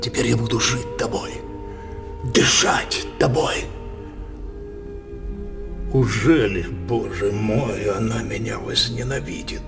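Slow-spoken, obsessive older king. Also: lowish voice, fairly even intonation, gravelly, music in the background. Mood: hushed, anguished. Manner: halting, declamatory, swinging from hushed to impassioned. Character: brooding older king, obsessed with his own daughter. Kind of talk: theatrical verse drama on radio.

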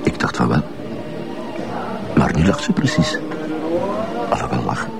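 A shy, tired young police assistant with a Flemish accent, answering in a very low voice. His pitch swings widely between his hesitant, reserved words. Music plays behind the scene of this radio play. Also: huge pitch swings, unhurried, creaky, heavily acted. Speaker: colleague of the commissaris. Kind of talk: radio drama acting.

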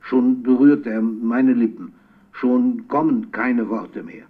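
An actor playing an elderly, melancholic poet who records a tender letter on tape for a radio play, savouring his words with pauses. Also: mid-range voice. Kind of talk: radio drama monologue as spoken letter. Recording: thin, tinny phone-like tape sound.

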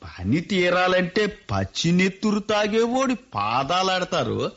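A man's voice: sits high, sing-song, unhurried, fairly bright tone, full character voice. An actor playing a shrewd, jovial rustic rich man who laughs and talks in a rural dialect, in a radio play. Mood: sly banter. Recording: dull lo-fi radio recording.

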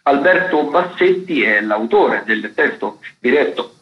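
A man's voice: mid-range voice, animated, unhurried. A man giving an informal theatre listings rundown on the radio, chatty and hesitant, often correcting himself. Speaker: theatre critic, radio contributor. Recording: dull, band-limited radio audio.

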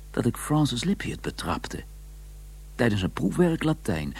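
An actor playing an educated scholar who narrates his memories in a measured, musing, wry way with a husky voice in a radio drama. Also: lowish voice, darkish tone, exaggerated voice. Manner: measured, musing, deliberate pauses.

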